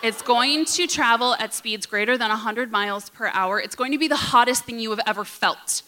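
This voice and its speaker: A woman mimicking a loud commanding father. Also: a little high. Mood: urgent warning. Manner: loud, slow, commanding pronouncements. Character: man, her alarmed father giving orders.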